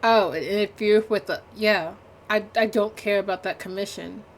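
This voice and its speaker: A woman's voice: medium pitch, fairly even intonation, normal speed, slight background noise. A woman livestreamer giving casual gameplay commentary in a dry, offhand, rambling way.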